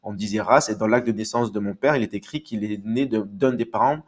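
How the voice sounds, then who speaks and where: A man's voice: on the low side, brisk. A man from Marseille, answering as an interview guest in a hesitant, polite, explanatory way. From Marseille, France. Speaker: podcast guest. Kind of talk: casual autobiographical interview answers.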